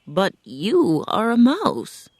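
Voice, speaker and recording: A soft-hearted tin man, as a woman narrator voices him, speaking gently and slowly, a little above her usual pitch. The sound is dry and close. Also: wildly swooping pitch, no room sound, exaggerated voice. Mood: earnest. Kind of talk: storybook reading.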